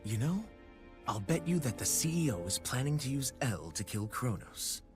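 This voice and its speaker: An actor playing a smug, scheming corporate director in a video game, polite yet condescending and insinuating. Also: male voice, medium pitch, very animated, normal speed, natural voice. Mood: smooth, dismissive. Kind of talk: video game cutscene acting. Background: background music.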